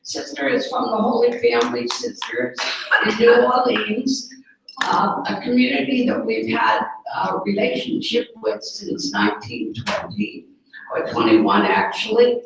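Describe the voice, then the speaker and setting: A religious sister hosting a gathering, inviting guests to share stories in a chatty way with filler words. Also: female voice, a little high, very animated, a little slow, slight background noise. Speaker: host, sister of the religious community. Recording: echoey room, mic far off.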